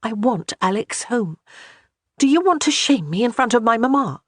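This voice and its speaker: A woman voicing a flustered young noble wife, rattled and bossy, in a husky voice. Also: sing-song, normal speed, no echo, clean studio sound. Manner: rattled, bossy, high-strung.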